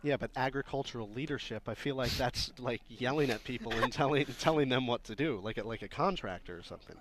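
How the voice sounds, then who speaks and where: An American man provides color commentary on a live baseball broadcast. His voice is of average pitch, and his delivery is conversational, like talk between partners in a radio booth. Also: fast talker, background noise.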